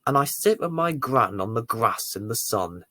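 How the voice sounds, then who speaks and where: A man reading a bedtime story to young children as its presenter, with deliberate, theatrical emphasis. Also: medium pitch, animated, dry recording. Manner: deliberate, theatrical emphasis with dramatic swells and hushes.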